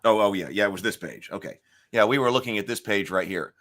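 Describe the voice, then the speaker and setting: Bright-voiced man with a gravelly throat. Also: on the low side, fast talker. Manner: clears throat often, thinks aloud with fillers. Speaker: live stream host. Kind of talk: casual morning live stream chat.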